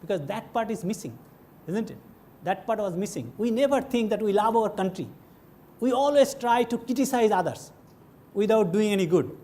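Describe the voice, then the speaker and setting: A man's voice: sits high, expressive, moderate pace, dark tone. A middle-aged man from West Bengal with a Bengali-tinged Indian English accent, giving a conversational lecture on a hall stage and asking the audience questions as he goes. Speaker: guest lecturer. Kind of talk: classroom lecture.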